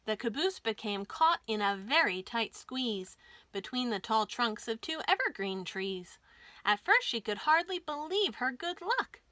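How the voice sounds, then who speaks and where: Bright-voiced woman reading a children's story. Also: a little high, no room sound. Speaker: children's storytime reader at a library. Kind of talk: expressive read-aloud of a rhyming picture book.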